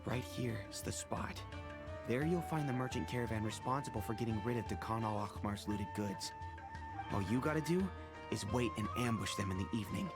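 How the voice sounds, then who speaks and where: An actor playing a laid-back male mercenary informant who talks in a relaxed, joking way. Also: fairly low pitch, fairly quick, loud music behind the voice, natural voice. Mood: easygoing. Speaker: game character.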